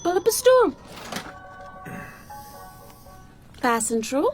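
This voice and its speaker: High-voiced, cheeky Jamaican bar manageress. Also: female voice, sing-song, music in the background. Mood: friendly, welcoming. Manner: teasing, unhurried, frank. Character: cheeky, warm-hearted young Jamaican woman managing a bar. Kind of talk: radio drama acting.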